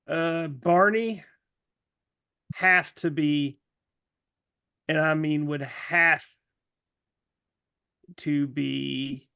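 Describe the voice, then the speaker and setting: Slow-paced, higher-voiced man thinking aloud. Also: fairly even intonation, vocal fry, bright, forceful tone, clear, resonant tone. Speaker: podcast co-host. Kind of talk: casual joking panel chat.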